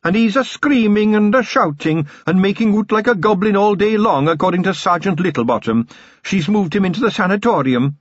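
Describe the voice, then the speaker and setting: Man putting on a tiny sly Scottish gnome lawman, high lilting brogue, husky edge, dry dull sound. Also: lively intonation, a little fast, dry recording. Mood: sly, earnest. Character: tiny fierce sly male Feegle-raised gnome constable.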